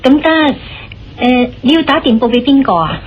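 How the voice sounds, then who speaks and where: A woman with a Hong Kong Cantonese accent playing a young, dutiful nurse in a radio drama, gentle and hesitant. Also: high voice, very animated, moderate pace, slight background noise. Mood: hesitant, worried. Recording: dull lo-fi radio drama recording. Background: music.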